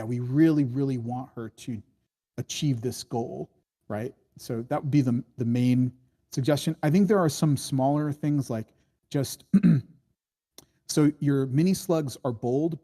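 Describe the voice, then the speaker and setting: A man's voice: medium pitch, mellow. A man giving casual spoken feedback on a screenplay, rambling and hedging, with many false starts as he thinks aloud. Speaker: screenwriting workshop critic giving script notes.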